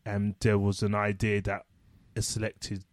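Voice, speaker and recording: Low-voiced man, reflective and measured. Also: completely flat delivery, dry recording. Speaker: social worker, podcast co-host. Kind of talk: casual chat.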